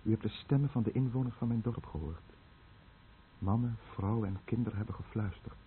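A man's voice: on the low side, a little fast, natural voice. An actor playing a dying, guilt-ridden fisherman who tells of his lost village in a brooding, bitter radio drama monologue. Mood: grim. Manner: brooding, bitter, confessional monologue addressed to the listener. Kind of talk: Dutch-language radio drama narration. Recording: muffled narrow-band old radio recording, faint noise.

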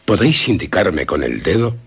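A man playing a grave, elderly doctor in a radio drama, very husky and gravelly, with formal, measured questioning. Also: low voice, big pitch swings, full character voice. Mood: probing.

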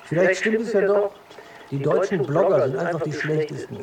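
Casting: man playing bitter, defensive hypochondriac loner for radio drama, fairly high voice, heard from a distance. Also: moderate pace, a little mellow, a little hiss or hum, natural voice. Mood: bitter, pleading. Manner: bitter, plaintive. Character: wounded, defensive male hypochondriac, brooding and isolated. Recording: distant mic, background voices.

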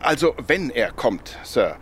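A deferential police superintendent in a radio drama, talkative and plain. His voice is mid-pitched, over a faint noisy ambience. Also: very animated, unhurried. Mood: chatty, dismissive. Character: respectful, chatty police superintendent, adult man on watch. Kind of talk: German radio play acting.

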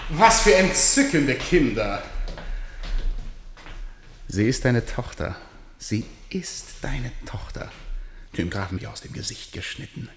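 An actor playing a sardonic, grumbling playwright and jealous lover in a German radio drama, with a mocking, theatrical, teasing manner. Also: male voice, medium pitch, very animated, slow, long pauses. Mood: sarcastic, exasperated. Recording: roomy radio play with faint noise.

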